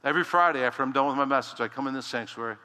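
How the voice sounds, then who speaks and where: A man pastor preaching a sermon in a loud, emphatic voice, swinging between hushed low lines and sudden shouted rises. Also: rapid-fire, in a large hall. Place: church sanctuary.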